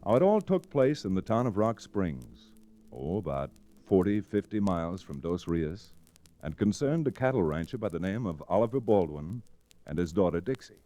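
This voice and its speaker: A man's voice: low voice, very animated, warm tone, soft background music. An actor playing an easygoing American frontier lawyer who narrates his tale in a genial, folksy, old-time radio manner. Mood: genial, wry. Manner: folksy, unhurried storytelling. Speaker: lead character and narrator. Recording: restored vintage radio recording.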